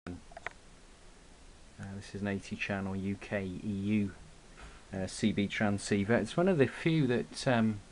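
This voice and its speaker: A man giving an unscripted hobbyist product demonstration in a halting way, with frequent pauses, recorded indoors on a camera mic. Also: on the low side, a little mellow, rough recording. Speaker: radio hobbyist presenting gear. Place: indoor room at a radio desk. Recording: clear background noise, clicks.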